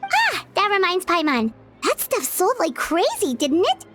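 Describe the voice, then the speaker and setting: A woman's voice: very high-pitched, wildly swooping pitch, unhurried, over music, heavily acted. A woman voicing a small, bubbly female fairy companion in a game, who talks about herself in the third person. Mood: chirpy. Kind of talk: game cutscene voice acting.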